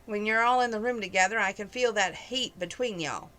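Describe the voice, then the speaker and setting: A woman's voice: medium pitch, fairly quick, a bit bright, natural voice, low-quality recording. A woman reading aloud, voicing a teasing young woman in a storybook.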